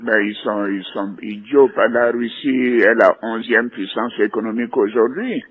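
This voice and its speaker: A man's voice: lowish voice, normal speed, breathy edge. A man talking informally over the telephone, with hesitant phrasing and repeated words. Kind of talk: informal phone conversation. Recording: tinny, narrow phone-line recording.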